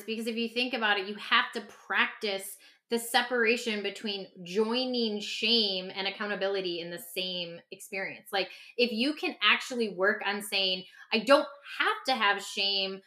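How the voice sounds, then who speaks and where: A woman speaking emphatically and conversationally as a podcast guest, explaining self-help ideas in an animated way. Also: medium pitch, normal speed. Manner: emphatic, acts out example dialogue. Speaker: podcast guest and author discussing their own book.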